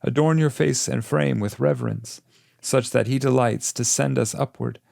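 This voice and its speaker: A man's voice: medium pitch, normal speed, creaky, a little mellow, no echo, clean studio sound, pitched above the performer's own voice. A man voicing a wise poet guide who admonishes his pupil in formal, instructive words, in an audiobook reading. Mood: urgent admonishing. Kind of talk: literary audiobook character reading.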